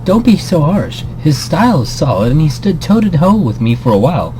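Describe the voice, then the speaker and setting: A man's voice: a little high, very animated, a little fast, dark tone, a little hiss or hum, voice pushed up, poor audio. A man voicing an easygoing, encouraging male pro gamer from a visual novel, friendly and teasing.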